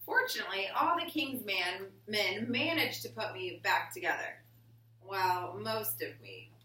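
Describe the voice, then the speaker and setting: A woman teacher reading a picture book aloud to her class in a deliberate storytelling way and prompting pupils with questions. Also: mid-range voice, very animated, pushed and bright. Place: indoor classroom. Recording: roomy classroom, distant mic, faint noise.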